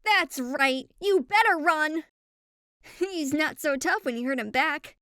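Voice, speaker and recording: A woman's voice: sits high, animated, slow pace, pushed and bright, no echo, clean studio sound, exaggerated voice. A woman voicing a spunky, friendly and teasing young goat girl in an audio drama, perky and chatty. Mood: defiant.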